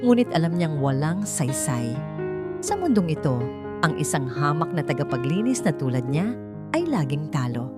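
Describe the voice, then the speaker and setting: A woman's voice: deep voice, very animated, normal speed, loud music behind the voice. A woman reading a scripted dramatic story as its narrator. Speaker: narrator of a Tagalog love story.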